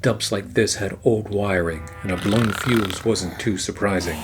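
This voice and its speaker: A man playing a weary, wry 1940s private eye in a noir radio play, wisecracking and narrating in a deadpan manner. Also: fairly low pitch, measured pace, over music, lightly acted.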